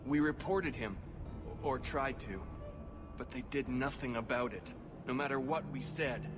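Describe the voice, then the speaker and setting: A man's voice: medium pitch, a little slow, natural voice. A man doing a bitter elf fugitive. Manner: bitter, defiant. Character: angry young male elf fugitive. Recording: game audio with clear music behind.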